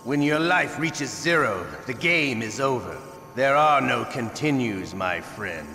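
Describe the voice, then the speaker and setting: A sadistic game villain, a torturer who taunts theatrically. His voice is gravelly and sharp, menacing in every drawn-out phrase, and it rings through a long reverb. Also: mid-range voice, expressive, soft background music. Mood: menacing, taunting. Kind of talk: video game villain acting. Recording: echoey metallic room, faint noise.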